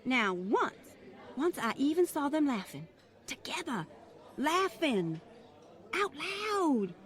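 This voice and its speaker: A high, fluttery voice for a genteel grandmother of means, acted by a woman. She is prim and condescending, wrapped in affected politeness and easily flustered. The delivery is old-time radio drama. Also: big pitch swings, slow, a faint crowd, exaggerated voice. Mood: flustered, disapproving. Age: older.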